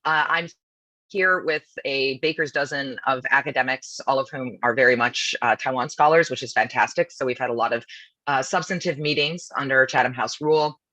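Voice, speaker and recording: A woman who is a law professor, speaking with a husky voice over a video call in a media briefing and explaining things in a brisk, informal way. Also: rumbling low, pushed and bright, dry recording. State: husky voice from nonstop talking.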